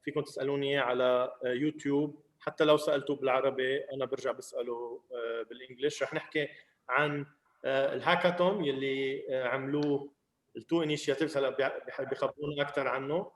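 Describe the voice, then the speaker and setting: A man's voice: medium pitch, steady tone, racing, reverberant. A man with a Lebanese accent hosting an online video call. He switches between languages and uses many fillers. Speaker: host.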